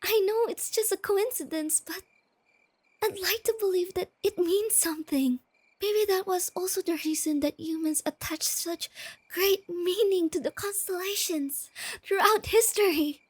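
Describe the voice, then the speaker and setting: A woman playing a shy, nerdy young girlfriend in a soft-spoken ASMR roleplay, sweet and earnest, explaining things gently and without hurry. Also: very high-pitched, a little slow, no echo. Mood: excited, wistful.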